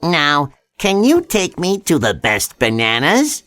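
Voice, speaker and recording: A greedy, tricky storybook spider, played by a man, demanding and exaggerated. The voice is bright and sharp, pitched up and swooping wildly. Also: huge pitch swings, takes it slowly, no echo, exaggerated voice. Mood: wheedling, greedy.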